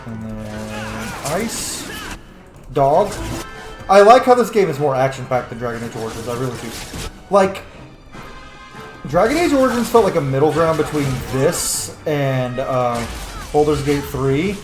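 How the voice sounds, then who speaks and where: A man's voice: mid-range voice, very animated, unhurried. A man doing casual let's play commentary, playful and sweary, talking to the game's characters in a roomy-sounding space. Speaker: video game streamer. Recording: roomy mic with game music behind. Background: game music.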